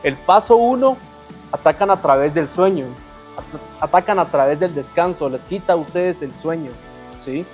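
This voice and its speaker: A man's voice: on the high side, expressive, moderate pace, faint music behind. A male host talking to his audience, explaining and commenting between video clips. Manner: explanatory, addresses the audience directly.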